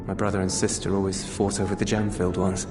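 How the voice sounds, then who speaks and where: A man's voice: deep voice, little pitch movement, fast talker, lightly acted. An actor playing a reserved, kindly soldierly man in a video game cutscene, speaking in a hesitant, earnest, conversational way. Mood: friendly. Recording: roomy echo with music underneath.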